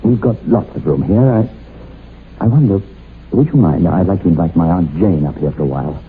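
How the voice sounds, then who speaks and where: Low-voiced, earnest young husband. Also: male voice, animated, brisk. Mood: affable, affectionate. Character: earnest, insistent young husband dismissing superstition. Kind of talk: old radio horror drama acting. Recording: tinny, noisy old radio recording.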